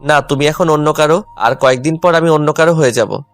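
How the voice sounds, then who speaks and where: A man's voice: on the high side, fairly quick, a bit bright, no echo, lightly acted. A man playing a hurt, angry, stubborn young husband in a dramatised audio love story. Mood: cold, hurt. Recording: music under the voice.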